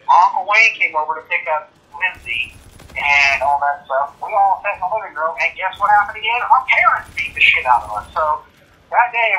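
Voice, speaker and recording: A man's voice: fairly high pitch, pushed and bright, dull audio. A man telling a casual, rambling anecdote as a guest, often repeating and restarting his phrases. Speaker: guest storyteller on a gaming channel.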